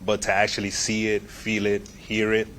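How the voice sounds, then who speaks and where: A man's voice: lowish voice, completely flat delivery, normal speed, a bit bright, slight background noise. A man in his thirties from Puerto Rico, a police sergeant answering press questions in a plain, earnest way.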